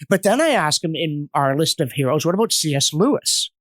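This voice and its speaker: A man's voice: a little high, big pitch swings, fairly quick, fairly bright tone, no echo. A man speaking as a podcast guest in an intellectual discussion, with an erudite, emphatic, argumentative manner and strong opinions. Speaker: podcast guest, history commentator.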